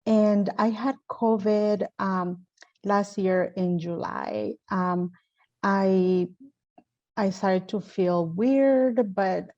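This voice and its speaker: A woman from El Salvador speaking on a panel, telling her story unhurriedly with many pauses and fillers. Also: fairly low pitch, darkish tone, dull audio. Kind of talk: panel discussion, personal account.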